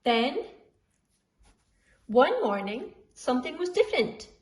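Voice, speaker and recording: A woman reading a children's story aloud expressively, deliberately drawing out the dramatic sound words. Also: sits high, sing-song, darkish tone, slight background noise. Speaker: school storyteller.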